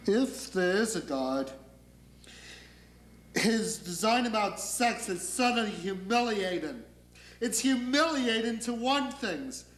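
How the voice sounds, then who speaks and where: A man's voice: high voice, sing-song, slow pace, fairly bright tone, full character voice. A man acting a theatrical comic monologue as a neurotic, negative character, wry and confiding. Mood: wry, disdainful. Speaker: actor performing a monologue. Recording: echoey room.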